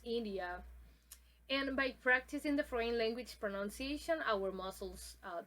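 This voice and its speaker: Halting young woman presenting a lesson. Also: fairly high pitch, bright, forceful tone. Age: young adult. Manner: halting, with many ums and uhs and restarts. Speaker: student presenter. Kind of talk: classroom presentation on pronunciation.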